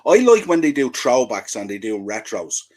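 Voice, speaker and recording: Male podcast co-host, mid pitch, chatty and loose, frequent restarts. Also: sing-song, moderate pace, a little mellow. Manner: chatty, stumbles and restarts, laughs easily. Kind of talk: casual chat.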